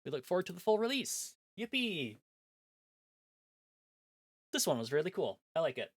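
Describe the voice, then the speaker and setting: A man streaming a visual novel, reading its text aloud and chatting between scenes in an animated, self-mocking, jokey way. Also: fairly high pitch, moderate pace, breathy edge, no echo, studio quality. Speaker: game streamer. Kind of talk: live-stream chat, reading a game aloud.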